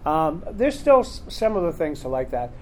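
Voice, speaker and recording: A man's voice: on the high side, sing-song, moderate pace, a little hiss or hum, low-quality recording. A man hosting a convention panel, talking casually and rambling with lots of fillers. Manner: rambling, chatty, full of fillers. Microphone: recorder in the room.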